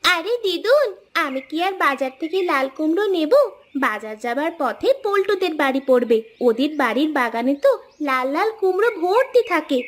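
A woman's voice: high-pitched, very animated, normal speed. A woman voicing a confident, chatty eleven-year-old girl in a cartoon story, speaking in an eager, insistent manner. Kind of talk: animated cartoon storytelling dialogue. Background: background music.

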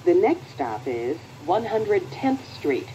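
An American woman reading scripted transit announcements in a measured, formal manner. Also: fairly low pitch, very animated, warm tone, lo-fi sound, slight background noise.

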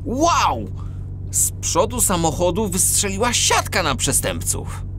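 An eager cat detective from a children's audio drama. His delivery swings up and down with open, exclamatory enthusiasm. Music plays clearly behind him. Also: sits high, huge pitch swings, slow pace, full character voice. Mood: excited. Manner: exclamatory, wonder-struck. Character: excitable male cat police detective, boyish and enthusiastic.

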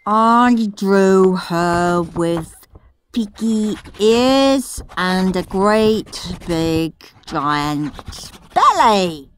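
A woman's voice: mid-range voice, expressive, extremely slow, slight background noise, pitched below the performer's own voice. A woman voicing a scheming, gleefully rude young boy for a storybook, gloating and emphatic. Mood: gloating glee.

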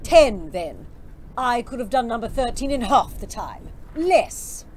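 A woman's voice: on the high side, sing-song, unhurried, darkish tone, slight background noise. An actress playing a stern, bullying woman commandant in an audio drama, clipped and commanding. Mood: stern, scornful.

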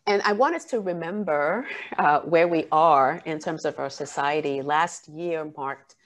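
A woman with a low voice speaks over a video call, giving an academic keynote. She talks in an earnest, measured way and leans on fillers between her thoughts.

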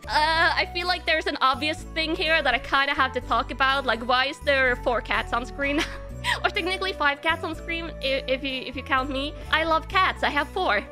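A woman's voice: high-pitched, moderate pace, bright, forceful tone. A woman whose first language is Norwegian, giving a giggly, rambling stream self-introduction. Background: faint music.

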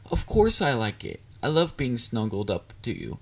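A man playing a shy, clingy, excitable young catboy boyfriend in an intimate romantic audio roleplay, hesitant and easily flustered. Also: mid-range voice, moderate pace, creaky, heavily acted. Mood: vulnerable. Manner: hesitant, vulnerable, flustered, with stammers.

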